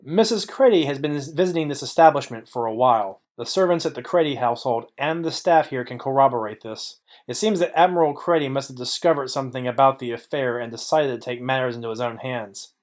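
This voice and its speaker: A man playing a clever private detective in a noir audio drama, confident, wry and deliberate. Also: mid-range voice, lightly acted. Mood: assured.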